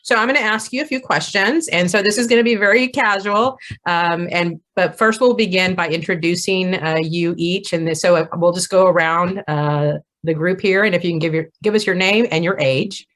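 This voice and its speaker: A woman with a lowish voice hosts a relaxed group chat over a video call. She is friendly and unhurried, and her speech is full of small fillers and restarts. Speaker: host and moderator. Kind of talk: casual group conversation.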